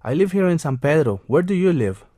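A man's voice: a little high, sing-song, brisk, warm tone, no room sound, studio quality. A man playing a friendly seventeen-year-old schoolboy in a classroom role-play.

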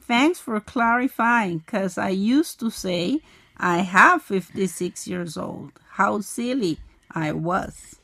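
A woman's voice: mid-range voice, animated, slow, vocal fry, fairly bright tone, no echo. A woman, playing the curious student in a scripted English lesson. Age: 40s. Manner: curious, asking questions. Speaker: co-host acting as student.

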